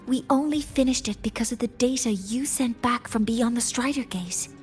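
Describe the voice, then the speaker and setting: A woman's voice: fairly high pitch, normal speed, darkish tone, lightly acted. A woman playing an earnest young scientist in a video game, speaking formally and precisely as she explains things. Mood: earnest, solemn. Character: earnest young female scientist, briefing her mentor. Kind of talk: scripted game dialogue. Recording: music behind the voice.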